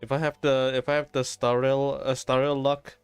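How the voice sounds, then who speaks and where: A man's voice: mid-range voice, little pitch movement, normal speed, smooth, faint music behind. A man livestreaming a game, talking casually and muttering to himself as he plays. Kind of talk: casual solo gaming stream chatter.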